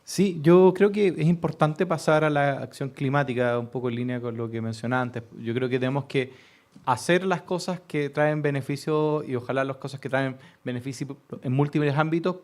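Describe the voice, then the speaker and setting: A man speaking as a government official on a radio panel, measured and optimistic, with some restarts. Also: mid-range voice, steady tone, darkish tone.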